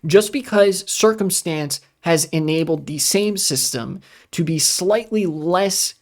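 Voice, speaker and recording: A political streamer giving casual commentary in a ranting, profane, sarcastic way. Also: mid-range voice. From United States.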